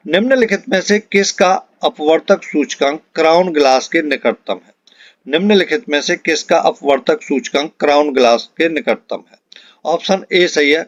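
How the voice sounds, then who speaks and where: Higher-voiced male teacher, dull recording. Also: no echo. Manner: instructional, repeats questions and answers for emphasis. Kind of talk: exam-prep lesson reading out multiple-choice questions and answers. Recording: dull, lo-fi close dry recording.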